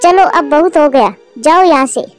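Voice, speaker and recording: A woman's voice: sits high, expressive, normal speed, faint music behind. A woman voicing a cruel, scolding older mother-in-law bird in a moral-story cartoon. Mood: angry, scolding. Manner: harsh, scolding, commanding.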